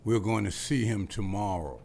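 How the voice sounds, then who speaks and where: A man's voice: fairly low pitch, normal speed, gravelly, darkish tone. Man reading a dutiful, caring grown son. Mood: concerned. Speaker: table read actor. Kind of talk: table read.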